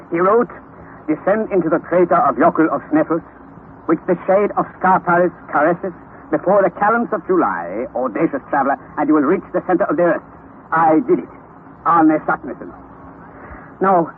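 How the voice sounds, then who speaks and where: An actor playing a fierce, excitable older uncle and explorer in an old radio drama, declaiming theatrically and giving commands. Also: male voice, fairly high pitch, phone-quality sound, lightly acted. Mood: solemn, intense. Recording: thin, band-limited vintage radio recording, noisy.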